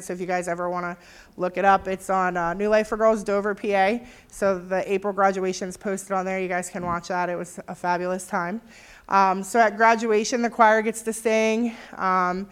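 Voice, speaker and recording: Woman explaining her program, relaxed. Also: medium pitch, smooth. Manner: relaxed, explaining, many filler ums. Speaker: staff member of a women's recovery program. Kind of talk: informal presentation to a visiting group.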